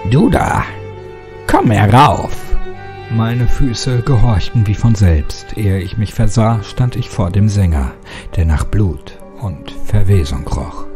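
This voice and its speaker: A man's voice: lowish voice, measured pace. A man giving a scripted, dramatic first-person narration of a horror story. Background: music.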